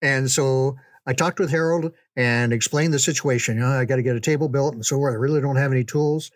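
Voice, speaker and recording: An older man reminiscing in a casual, folksy way, unhurried, with pauses for emphasis. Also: medium pitch, no room sound. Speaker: podcast storyteller, reminiscing father. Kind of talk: casual conversational storytelling.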